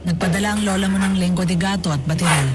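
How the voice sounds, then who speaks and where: A woman voicing a gentle mother who soothes her child in a radio drama. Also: fairly low pitch, fairly even intonation, quick pace, lightly acted. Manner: soft, coaxing. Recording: loud music underneath.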